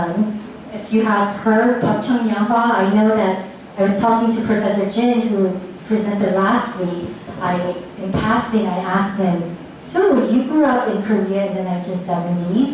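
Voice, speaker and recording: A woman lecturer answering audience questions in a tentative, halting, thinking-aloud way. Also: medium pitch, darkish tone. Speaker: lecturer presenting research on radio dramas. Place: echoey indoor lecture room. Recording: echoey room, distant mic, faint noise.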